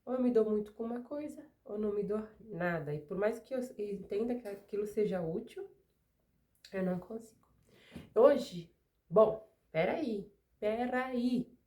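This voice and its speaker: A woman's voice: mid-range voice, unhurried, mellow. A middle-aged Brazilian woman hosting her craft channel, chatting casually to camera while she works, still recovering from a cold, with digressive rhetorical asides. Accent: Brazilian Portuguese. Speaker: craft studio owner and channel host.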